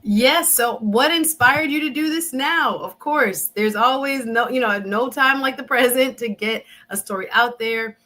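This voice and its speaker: A woman's voice: a little high, unhurried, few pauses, pushed and bright. A woman hosting an interview show, chatty and enthusiastic, talking straight to her viewers. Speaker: show host.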